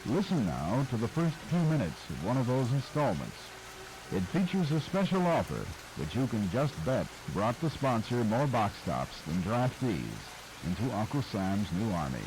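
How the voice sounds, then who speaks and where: Man, scripted documentary narrator, measured and wry, expressive pitch. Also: background noise.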